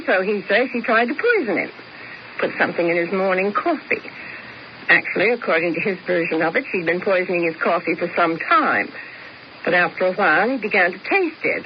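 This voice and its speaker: A woman's voice: lowish voice, lively intonation, a little fast, close to an everyday voice. An actress playing a fussy, vain older woman with arch, precise diction in a radio drama. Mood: wry, dramatic. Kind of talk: old-time radio drama acting. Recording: muffled, noisy old radio recording.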